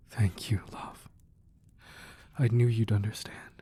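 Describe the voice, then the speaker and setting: Casting: man to play romantic mob boss husband for ASMR audio drama; slow, low, hushed, protective, quietly menacing. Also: slight background noise. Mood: soft, pleading. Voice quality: soft, breathy, hushed voice. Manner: slow, deliberate, tender murmuring with pauses. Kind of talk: intimate ASMR roleplay acting.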